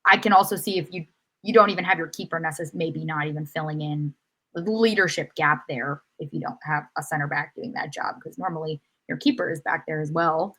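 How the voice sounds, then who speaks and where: Lowish-voiced woman discussing sports. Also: fairly even intonation, brisk, sharp tone, low-quality recording. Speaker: podcast panelist. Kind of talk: casual sports analysis.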